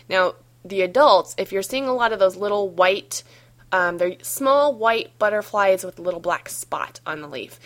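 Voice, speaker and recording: Slightly hoarse woman with a cold, lowish voice. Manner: relaxed, chatty, with frequent ums. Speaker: gardening podcast host. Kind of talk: solo podcast advice talk.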